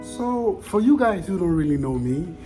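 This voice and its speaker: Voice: mid-range voice, very animated, normal speed, mellow. A vlogger with a Ghanaian accent speaking to viewers in a reflective, conversational way, repeating points for emphasis. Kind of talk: personal vlog narration to viewers. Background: music.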